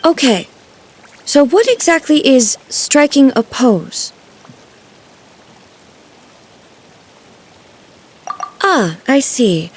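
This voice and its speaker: A woman playing a dignified goddess ruler in a video game, formal, measured and earnest, naive about the modern world. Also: high-pitched, sing-song, husky, exaggerated voice. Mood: puzzled, self-conscious. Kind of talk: scripted video game voice acting.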